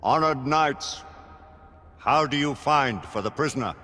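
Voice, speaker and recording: A man's voice: medium pitch, unhurried, sharp tone, slight background noise. A man playing a stern presiding judge of a knightly council in a video game, speaking in formal, accusing declamation. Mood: solemn. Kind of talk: theatrical period drama game acting. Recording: long hall reverb.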